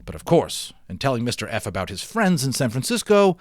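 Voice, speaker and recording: A man's voice: mid-range voice, very animated, brisk, no room sound, studio quality. A man giving a dramatic storybook read-aloud of a children's novel, with theatrical, emphatic phrasing.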